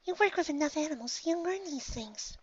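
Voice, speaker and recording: A timid little pegasus mare, her voice pitched high and breathy, hushed almost to nothing. She sounds shy and gentle. It is recorded close and dry, with a dull, lo-fi edge. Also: expressive, brisk, dry recording. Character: timid soft-spoken female pegasus pony.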